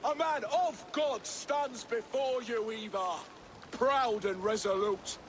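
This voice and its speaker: Restrained, proud royal warrior. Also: male voice, very high voice, takes it slowly, slight background noise. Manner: formal, restrained. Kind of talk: dramatic game cutscene acting.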